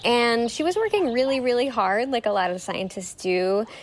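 A woman's voice: on the high side, normal speed, slight background noise. A woman journalist giving a casual interview, chatty and enthusiastic, with some fillers. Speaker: journalist and author, interview guest.

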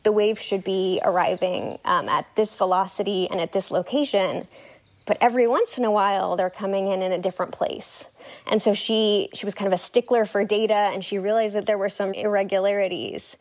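A woman's voice: mid-range voice, steady tone, a little fast. A woman journalist giving a casual interview, chatty and enthusiastic, with some fillers. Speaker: journalist and author, interview guest.

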